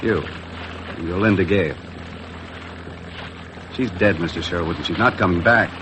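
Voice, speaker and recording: An American actor playing a tough, blunt, world-weary charter boat skipper in 1950s radio drama style, terse and hard-boiled. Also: male voice, sits low, moderate pace, lightly acted. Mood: firm, blunt. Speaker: lead actor and narrator of a radio adventure. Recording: noisy vintage 1950s radio recording.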